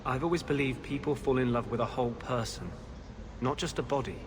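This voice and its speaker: A man acting as an embittered young rebel mage from a fantasy game. He is earnest and intense, confessing in halting phrases at a middling pitch, with clear noise behind him. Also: lightly acted. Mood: wistful, confiding. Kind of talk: dramatic video game dialogue. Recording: clearly noisy game audio background.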